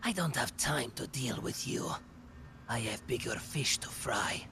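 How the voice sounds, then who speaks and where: Man acting a gravelly, hot-tempered villain. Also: medium pitch, lively intonation, a little slow, pushed and bright, a little hiss or hum, exaggerated voice. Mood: threatening. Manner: agitated, snapping, threatening. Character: hot-tempered male villain with a luck ability. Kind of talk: anime roleplay audio drama.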